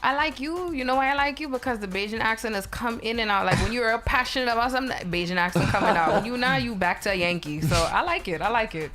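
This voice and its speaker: A woman's voice: on the high side, fast, few pauses, a bit bright, soft background music. A woman interviewing a musician, talking in a chatty, direct way and teasing her guest with pointed questions. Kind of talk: casual interview.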